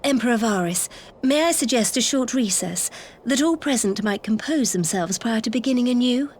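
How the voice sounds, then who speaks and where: An actress playing a gracious young diplomat in a video game, speaking formal, composed, conciliatory lines. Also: female voice, on the high side, animated, moderate pace, a little mellow, slight background noise, close to an everyday voice. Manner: courteous, composed.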